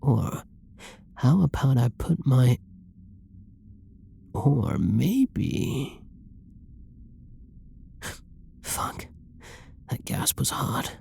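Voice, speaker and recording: A performer playing a flirty, dominant, possessive villain in an intimate ASMR roleplay, with a breathy, husky, teasing purr that often drops toward a whisper. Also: mid-range voice, big pitch swings, extremely slow, long pauses, mellow, slight background noise, exaggerated voice. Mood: aroused, teasing. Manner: teasing, drawling, pet-name-laden purr.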